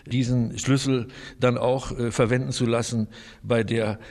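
A man speaking deliberately and pausing now and then. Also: medium pitch, level tone, a little mellow, long reverb tail.

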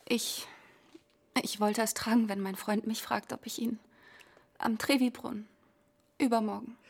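An actress in a radio play voicing an anxious, fragile woman in her early twenties with a husky voice. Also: fairly high pitch, fairly even intonation, unhurried. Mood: fearful, subdued. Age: 20s. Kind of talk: radio drama acting.

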